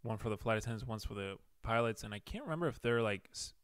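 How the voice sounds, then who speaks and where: A man's voice: on the low side, expressive, quick pace, fairly bright tone, clear, resonant tone, no room sound, studio quality. A young man originally from Venezuela, a pilot, chatting in an easygoing, hesitant way with many fillers in a couple's Q&A. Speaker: airline pilot, boyfriend guest. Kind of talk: casual couple Q&A chat.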